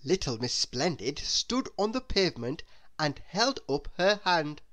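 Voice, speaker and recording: A woman's voice: low-pitched, sing-song, measured pace. A woman reading a children's storybook aloud, expressively and as if speaking straight to young listeners.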